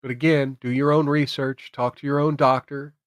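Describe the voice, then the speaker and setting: A man's voice: medium pitch, flat delivery, moderate pace, dry recording. An American man hosting a political commentary stream, speaking emphatically and repeating phrases for effect. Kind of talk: opinionated political commentary to camera.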